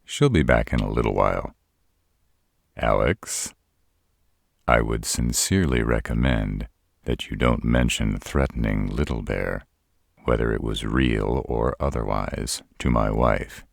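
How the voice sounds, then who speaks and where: Man acting gruff older father, roleplay drama, gravelly fry, slow measured speech, close dry sound, protective, deadpan. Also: no room sound, full character voice. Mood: gentle, firm. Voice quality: deep, gravelly. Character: gruff old father of a werebear's mate, protective, dryly stern.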